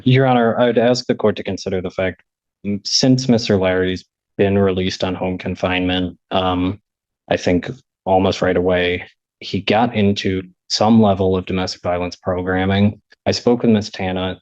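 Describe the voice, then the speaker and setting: A man's voice: low-pitched, level tone, normal speed, darkish tone, no echo. A man arguing in court as a defense attorney, deferential and hesitant in his phrasing. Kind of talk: courtroom argument. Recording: dull, lo-fi courtroom audio.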